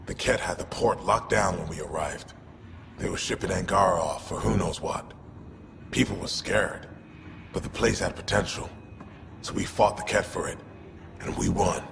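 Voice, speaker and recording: A man playing a gruff, pragmatic outlaw lieutenant in a video game, gravelly and terse. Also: deep voice, moderate pace, pauses often. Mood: terse, persuading. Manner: terse, clipped, matter-of-fact. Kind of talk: video game cutscene acting. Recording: long reverb, clear background noise.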